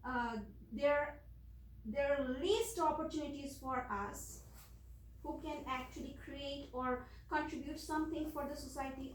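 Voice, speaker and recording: A woman from Bangladesh speaking earnestly on a panel, pausing often with fillers. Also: sits high, a bit bright. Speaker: panelist, songwriter and singer. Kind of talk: panel discussion remarks. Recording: echoey room, distant mic, faint noise.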